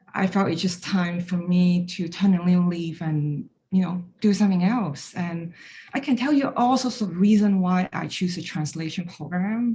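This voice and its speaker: A woman's voice: lowish voice, a little mellow, slight background noise. Woman sharing a personal story. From Hong Kong. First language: Chinese. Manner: candid, storytelling, light self-deprecating humor. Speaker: graduating student speaker. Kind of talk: personal reflection talk.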